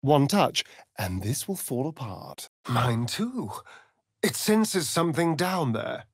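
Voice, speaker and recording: An actor voicing a vain, sardonic vampire elf rogue in a video game, with an arch, drawling, theatrical delivery. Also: male voice, on the high side, big pitch swings, takes it slowly, slightly rough, no room sound, clean studio sound, exaggerated voice. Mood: measured, serious. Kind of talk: video game character voice lines.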